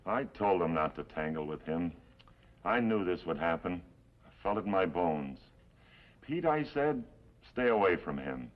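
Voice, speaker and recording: An actor playing a gloomy, complaining captured outlaw in a 1950s television western, with an American accent. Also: male voice, deep voice, expressive, a little slow. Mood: rueful. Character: captured outlaw henchman, gloomy, whining, fatalistic. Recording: muffled old TV soundtrack.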